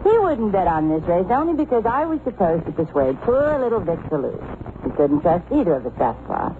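Boozy, sardonic moll, old radio. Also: female voice, on the low side, very animated, a little fast. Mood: bitter, resigned. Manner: sardonic, teasing. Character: boozy, sardonic gangster's girlfriend, worldly and bitter. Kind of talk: old-time radio drama acting. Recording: noisy narrowband old radio recording.

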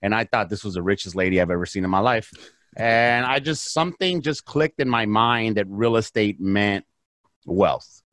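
Low-voiced American man telling his story. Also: lively intonation, moderate pace, a bit bright, no room sound, studio quality. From south Los Angeles, United States. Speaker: interview guest, real estate investor. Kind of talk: casual personal storytelling in an interview.

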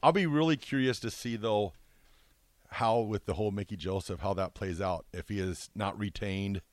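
Male sports radio co-host, fairly low voice, casual discussion, dry close sound. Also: normal speed, no echo.

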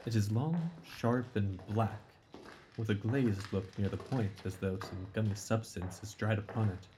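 A man playing an earnest, observant Victorian doctor in an audio drama, answering in a measured, clinical manner. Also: lowish voice, background noise, lightly acted. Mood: attentive, clinical.

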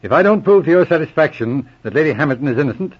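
An actor playing a calm, shrewd Mounted Police inspector, methodical, assured and probing, in 1940s radio drama style. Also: male voice, fairly high pitch, very fast, husky. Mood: reasoning. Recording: muffled old radio recording.

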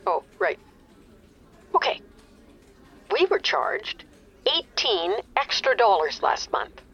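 A woman's voice: a little high, wildly swooping pitch, takes it slowly, fairly bright tone, husky, faint music behind, full character voice. An actress playing an older, demanding mother complaining over the phone in an indignant, pressing way, in an audio drama. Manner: indignant, self-righteous, pressing. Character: anxious, demanding mother angry over her phone bill. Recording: muffled phone-line sound.

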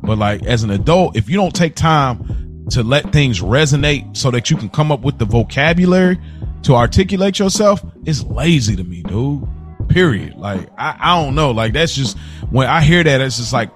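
A man's voice: medium pitch, animated, moderate pace. Emphatic man in casual podcast talk, music behind. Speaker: podcast co-host. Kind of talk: casual conversational podcast debate. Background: background music.

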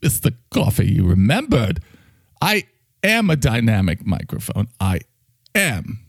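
A man's voice: fairly low pitch, wildly swooping pitch, a little slow, gravelly, slightly rough, no room sound, studio quality, full character voice. A man as a camp, teasing talking microphone. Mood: proud.

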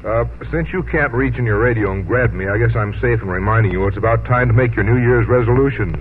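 A man's voice: mid-range voice, fast. An American man announcing on 1950s radio, reading a sponsor message in a folksy, friendly sales style. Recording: tinny, lightly noisy vintage radio broadcast.

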